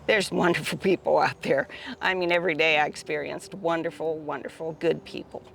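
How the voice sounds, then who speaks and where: An American woman answers interview questions in a creaky, medium-pitched voice. She is casual, halting and reflective, with faint ambient noise around her. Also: flat delivery, fairly bright tone. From Ohio, United States. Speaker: interviewee, solo pilgrim.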